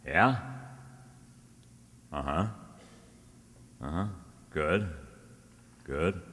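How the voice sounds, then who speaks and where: Brief: male actor as sardonic, stubborn radio private eye, low and forceful, clipped delivery, recorded in a reverberant hall. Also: extremely slow, slight background noise, full character voice. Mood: brisk, businesslike. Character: wisecracking, stubborn, suspicious male private detective. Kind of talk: old-time radio detective drama acting. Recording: echoey hall, long reverb.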